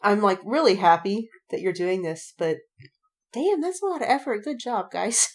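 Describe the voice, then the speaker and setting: Woman just chatting fandom stuff on a podcast, mid pitch, rambly n sweary, acts out what ppl said. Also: moderate pace. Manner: rambling, peppered with "like" and casual swearing; animated retelling with quoted reactions. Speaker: podcast co-host. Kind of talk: casual fandom chat.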